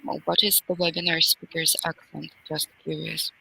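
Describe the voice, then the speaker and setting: Low bright-voiced woman moderating a webinar. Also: flat delivery, gravelly, husky, poor audio. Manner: polite, formal, halting with fillers. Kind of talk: hosting an online webinar.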